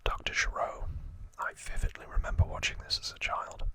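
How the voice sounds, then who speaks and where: A man hosting an ASMR video, whispering trivia in a breathy, unvoiced, soft and informal way. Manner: soft, unhurried, informal retelling.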